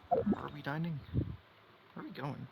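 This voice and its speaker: A man's voice: on the high side, expressive, moderate pace, gravelly, heavy background noise. A man giving casual, musing gameplay commentary, often correcting himself as he goes. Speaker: game streamer. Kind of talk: casual gameplay commentary, reading out dialogue choices.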